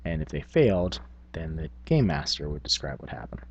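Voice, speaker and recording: A man's voice: a bass voice, very animated, fairly quick, a little mellow, husky, muffled recording. A man hosting a tabletop gaming vlog, talking casually in a rambling, self-effacing, unscripted way. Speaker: tabletop gaming video host.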